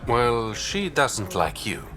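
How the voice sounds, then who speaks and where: An actor playing a smooth, sly, roguish fixer in a video game. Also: male voice, mid-range voice, very animated, takes it slowly, pushed and bright, heavily acted. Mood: sly, conspiratorial.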